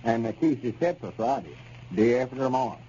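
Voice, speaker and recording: An actor playing a fussy, scolding old storekeeper with a rural Southern American accent, folksy and reproachful, in 1930s radio comedy style. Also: male voice, mid-range voice, animated, a little fast, warm tone, close to an everyday voice. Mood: exasperated. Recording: dull, noisy old radio recording.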